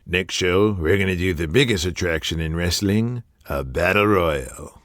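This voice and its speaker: A man's voice: low-pitched, measured pace, fairly bright tone, no echo, clean studio sound. A man playing a domineering, profane wrestling promoter in an audio drama, blustering and swearing. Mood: scheming.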